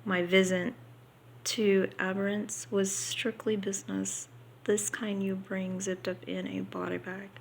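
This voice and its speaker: A woman reading a book aloud unrehearsed, halting here and there and slipping into casual asides. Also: medium pitch, level tone, vocal fry, a little hiss or hum.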